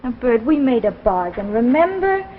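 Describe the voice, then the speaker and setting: An actress playing a devoted, encouraging young wife in a 1950s anthology drama, speaking in an earnest, coaxing way. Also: female voice, a little high, sing-song, normal speed, slight background noise. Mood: pleading. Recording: muffled, echoey 1950s broadcast recording.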